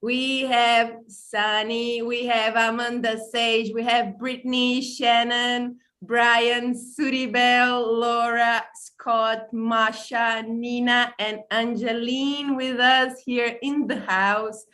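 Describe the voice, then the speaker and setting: A woman from Brazil, speaking English as a second language, hosts a casual online podcast over a video call. She talks slowly in a fairly high voice, effusive and a little halting, pausing to correct herself. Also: flat delivery. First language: not English. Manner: warm, effusive, stumbles and apologizes often. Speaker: podcast host. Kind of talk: casual online livestream hosting.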